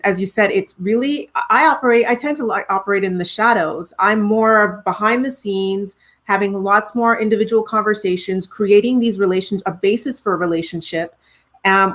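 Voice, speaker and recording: A woman's voice: medium pitch, a bit bright. Trinidadian woman, earnest and reflective. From Trinidad and Tobago. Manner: earnest, reflective, frequent restarts. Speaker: guest, professional singer. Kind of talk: conversational interview.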